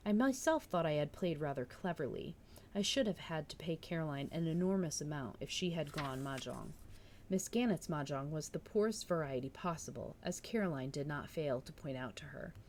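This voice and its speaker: A woman streamer chatting casually and reading a novel aloud, with an excitable, bubbly manner. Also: low voice, moderate pace, slight background noise. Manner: excitable, bubbly, breaking into laughter. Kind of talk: casual livestream chat and book narration.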